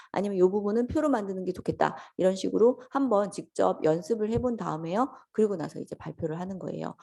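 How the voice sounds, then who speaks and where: A woman lecturer with a voice hoarse from a cold, politely explaining things step by step to students in a conversational lecture style. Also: mid-range voice, warm tone. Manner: polite and instructional, addressing students directly. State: hoarse from a lingering sore-throat cold. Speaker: lecturer teaching an office software course.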